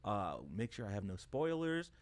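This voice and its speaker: A man's voice: lowish voice, expressive, normal speed. A man co-hosting a livestream, chatting casually and enthusiastically agreeing with his co-presenter. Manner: agreeable, enthusiastic, frequent backchannels. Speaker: livestream co-host.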